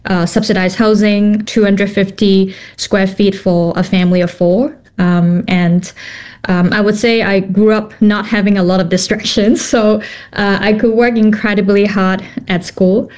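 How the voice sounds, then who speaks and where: A woman's voice: medium pitch, steady tone, unhurried, a little mellow. A woman in her thirties from Hong Kong, a company founder answering interview questions thoughtfully, with many fillers and restarts.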